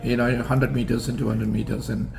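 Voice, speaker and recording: Older guy from singapore, formal and measured, lots of uh's, plain middle voice. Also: mid-range voice, level tone, creaky, a little mellow. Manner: measured, formal, many fillers and restarts. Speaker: interview guest, alumnus. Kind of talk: reminiscing interview answers.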